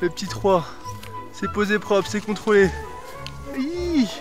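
Young guy, high lively voice, hyping himself up on the slope, music over it. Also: a little slow. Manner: high-pitched, excitable shouting. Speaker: freeride skier. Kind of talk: casual chat while skiing. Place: outdoors on a snowy mountain. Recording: music playing underneath.

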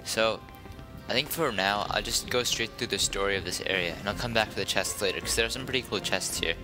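A man's voice: lowish voice, brisk. A young man giving casual, rambling, sardonic commentary as he plays a video game. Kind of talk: casual let's play commentary. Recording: game music under the commentary.

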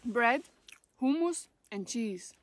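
A woman's voice: a little high, animated, slow pace. A woman, chatting casually as she hikes outdoors. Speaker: travel vlogger, hiker. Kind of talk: casual chat while hiking. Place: outdoors on a mountain trail. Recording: outdoor camera audio, music underneath.

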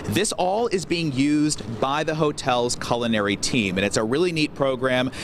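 A man's voice: medium pitch, moderate pace. A man reporting live for morning television, chatting and joking into a handheld microphone outdoors. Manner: chatty, jokey banter. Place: outdoor rooftop garden in a city. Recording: outdoor city noise behind voice.

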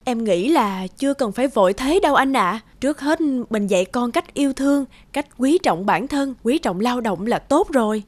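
A woman voicing a gentle, encouraging young mother in a cartoon, speaking soothingly. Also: fairly high pitch, expressive, moderate pace, few pauses, dry recording. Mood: gentle, reasoning. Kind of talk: cartoon dubbing. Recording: music behind the voice.

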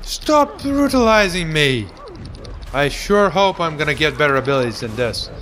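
Bright-voiced joking gamer man. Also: fairly high pitch, very animated, moderate pace, slight background noise. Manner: goofy, sarcastic, hums and mock voices. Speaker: let's play commentator. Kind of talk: casual gameplay commentary.

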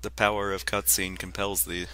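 Male co-commentator, lower pitch, very forceful bright tone, casual gaming chat, faint background noise. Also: level tone, normal speed. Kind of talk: casual chat over gameplay.

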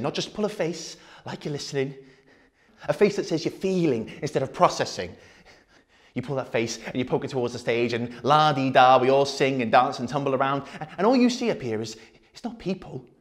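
An actor playing a desperate, furious man raging at his audience in a halting, repetitive dramatic monologue. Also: medium pitch, natural voice. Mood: bitter, intense. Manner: halting, repeating, swearing, building to outbursts.

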